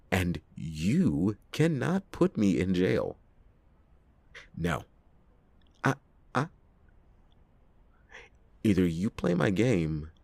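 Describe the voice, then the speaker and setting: Man playing a very deep, gravelly noir detective. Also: darkish tone, dry recording, full character voice. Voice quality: gravelly, husky low voice. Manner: sardonic, theatrical, halting speech with drawn-out emphasis. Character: cynical, hard-drinking, reluctant male noir private detective. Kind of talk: ASMR detective roleplay, acted monologue to listener.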